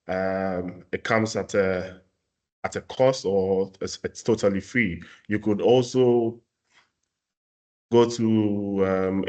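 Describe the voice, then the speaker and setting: A young man from Ghana with a very low voice walks viewers through services in a casual webinar. He hesitates often, filling gaps with ums and uhs as he explains. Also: a little mellow. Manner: hesitant, many fillers. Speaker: talent services staff presenting a webinar.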